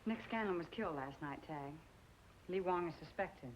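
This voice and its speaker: A woman's voice: on the low side, lively intonation, moderate pace, muffled recording, close to an everyday voice. An actress playing a caring, firm young frontier woman in an old television western, level-headed as she questions others. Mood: concerned. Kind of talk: 1950s television western acting. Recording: faint noise on old soundtrack.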